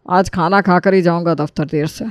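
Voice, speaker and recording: A woman's voice: lowish voice, fairly even intonation, normal speed, dry recording, clean studio sound, voice pushed down, natural voice. A woman voicing an older father-in-law giving matter-of-fact orders in a dramatised family story. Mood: demanding. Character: demanding older father-in-law, a household patriarch.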